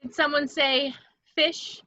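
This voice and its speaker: A woman's voice: high-pitched, fairly even intonation, slow pace, bright, forceful tone, lo-fi sound, exaggerated voice. A woman playing a sly, flirtatious villainess with a pout in a script table read. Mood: playful, hungry.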